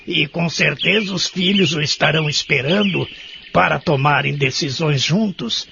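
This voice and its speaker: An actor playing a proud, lonely widowed man in his eighties in an audio soap, with a gravelly voice and brooding manner. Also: on the high side. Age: 80s. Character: lonely 83-year-old widowed care-home resident, proud and resentful. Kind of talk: audio soap narration and dialogue. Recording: dull lo-fi audio with clear noise.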